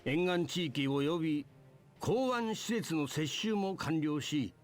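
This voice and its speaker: A man's voice: on the high side, animated, moderate pace, faint music behind, lightly acted. A man playing an imperial army general in a Japanese video game, delivering formal military briefings. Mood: businesslike.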